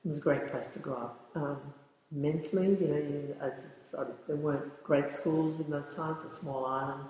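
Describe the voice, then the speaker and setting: A woman in her sixties, a yoga teacher, answering interview questions thoughtfully and often pausing to search for words. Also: very deep voice, darkish tone. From born in the United States, raised in the Bahamas. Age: 60s. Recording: very echoey room with long reverb.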